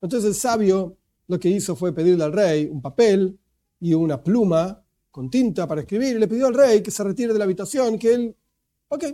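A man's voice: high voice, moderate pace, warm tone. A man giving a religious lecture, explaining emphatically and asking rhetorical questions. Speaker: religious teacher.

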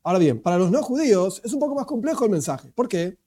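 Guy teaching religion, high voice but really dark mellow tone, asks himself questions. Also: big pitch swings, fast, husky. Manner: explanatory, poses rhetorical questions, emphatic. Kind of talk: religious lecture.

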